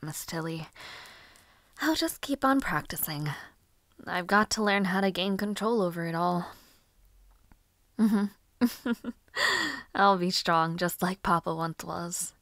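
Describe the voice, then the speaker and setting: Young lady mage for audio roleplay, female actor: slightly low pitch, unhurried delivery, genteel, cheerful, headstrong. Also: no echo. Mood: eager, determined. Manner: genteel, old-fashioned, chatty phrasing with soft laughs and sighs. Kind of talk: scripted audio roleplay drama.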